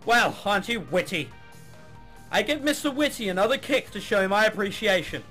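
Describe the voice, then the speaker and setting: Male let's player, high-pitched and very animated, sarcastic, sweary, music underneath. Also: moderate pace. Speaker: let's play host. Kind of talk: let's play commentary, reading game text aloud.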